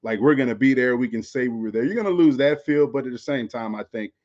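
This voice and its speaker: A man's voice: medium pitch, quick pace, a little mellow. Slightly muffled American man talking sports. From Florida, United States. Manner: conversational, repeats phrases, often says "you know". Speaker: former pro football player, podcast co-host. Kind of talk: casual sports podcast chat.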